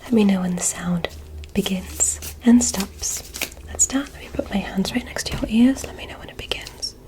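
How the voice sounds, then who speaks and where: A woman playing a curious, clinical alien examiner in a soothing, methodical ASMR roleplay, whispering softly and deliberately. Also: medium pitch, close to an everyday voice, rough recording. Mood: calm, curious. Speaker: ASMR performer.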